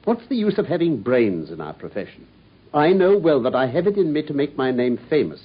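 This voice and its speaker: An actor playing a brilliant, self-assured detective in a radio play, precise and lightly condescending, on a dull lo-fi broadcast recording. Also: male voice, on the high side, very animated, a little fast, husky. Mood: restless. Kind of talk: 1950s-style BBC radio drama acting.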